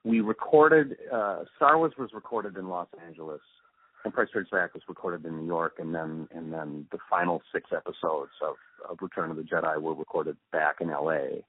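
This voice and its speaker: Low, husky man, explaining thoughtfully. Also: moderate pace, no room sound, narrow, tinny audio. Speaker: interview guest, radio drama post-production supervisor. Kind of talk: reflective interview answers.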